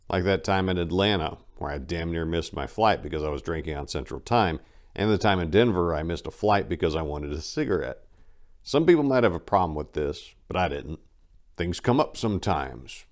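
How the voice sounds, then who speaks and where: A man speaking as host and dramatic reader, with theatrical, emphatic phrasing. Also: sits low. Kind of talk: dramatic audiobook reading.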